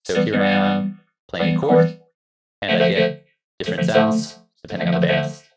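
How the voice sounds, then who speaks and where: A man speaks as a robot whose voice runs through a vocoder. The words come out as synthetic notes, delivered plainly as in a music software demonstration. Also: sits low, steady tone, normal speed, low-quality recording. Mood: flat demonstrative. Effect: vocoder robot filter on synth notes.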